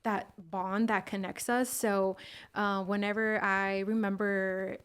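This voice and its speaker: Young woman from California, podcast guest; friendly, chatty, filler-heavy, mid pitch. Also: flat delivery, takes it slowly, clean tone. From California, USA. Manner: friendly, chatty, many ums and uhs. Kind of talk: casual interview chat.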